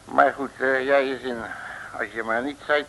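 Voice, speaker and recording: Grumbling bossy detective, gravelly, sharp and forceful, old radio comedy, vintage recording. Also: male voice, mid-range voice, steady tone, moderate pace, a little hiss or hum, rough recording. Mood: grudging, blustering. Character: blustering, grumbling, muddle-headed male detective. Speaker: voice actor.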